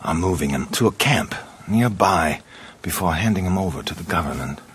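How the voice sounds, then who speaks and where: An actor playing a formal, informed outlaw associate with a raspy voice in a Western game. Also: male voice, deep voice, lively intonation, normal speed, heavily acted. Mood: grave. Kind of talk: dramatic game cutscene acting.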